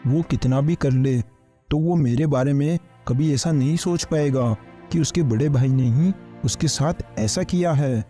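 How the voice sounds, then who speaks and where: A man's voice: mid-range voice, a little slow, warm tone, close to an everyday voice. A man playing a jealous, scheming farmer and smug husband in a kids' cartoon, gloating and conspiratorial. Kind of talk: Hindi kids' cartoon story dialogue. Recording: music under the voice.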